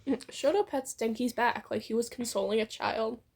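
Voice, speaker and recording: A woman's voice: fairly high pitch, normal speed, a little mellow, slightly rough. A woman casually reading a fan fiction story aloud as narrator.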